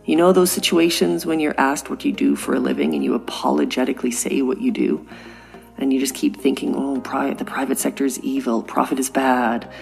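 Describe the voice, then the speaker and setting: A woman's voice: a bass voice, fairly even intonation, a little fast, creaky, faint music behind. A Canadian woman in her forties hosting a podcast, telling a personal story in an earnest, reflective way. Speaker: podcast host. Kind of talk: scripted podcast monologue.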